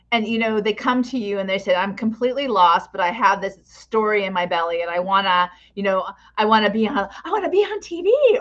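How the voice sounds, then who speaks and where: A woman's voice: medium pitch, fairly bright tone. Woman podcast host, slightly dull audio. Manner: effusive, encouraging, rambling questions. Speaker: podcast host interviewing a guest. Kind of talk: conversational podcast interview.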